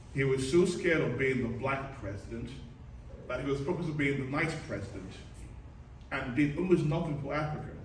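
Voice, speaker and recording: A man giving a rousing political speech, emphatic and exhortative, repeating his phrases for effect. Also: a little high. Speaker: political speaker at a community reception. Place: reverberant reception room, speaking to a gathering. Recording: echoey, distant hall recording with background noise.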